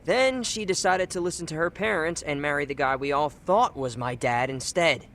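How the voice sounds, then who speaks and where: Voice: mid-range voice, lively intonation, moderate pace, fairly bright tone, slight background noise. An actor playing a cheeky but earnest teenage boy in a video game dub, talking in offhand, youthful slang. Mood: subdued, matter-of-fact.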